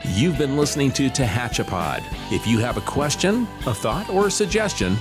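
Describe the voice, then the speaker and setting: A man's voice: medium pitch, sing-song, brisk. A man reading a scripted podcast outro announcement. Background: guitar music.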